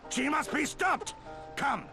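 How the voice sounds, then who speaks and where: An actor playing a solemn, zealous loyal retainer in a video game, with grandiose, archaic and dramatic delivery. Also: male voice, very high-pitched, animated, takes it slowly, music in the background, heavily acted. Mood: outraged. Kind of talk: video game cutscene acting.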